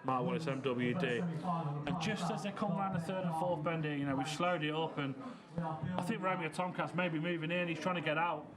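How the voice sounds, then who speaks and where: Man analysing a race over loud crowd. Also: a little high, brisk. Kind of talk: live sports commentary over replays.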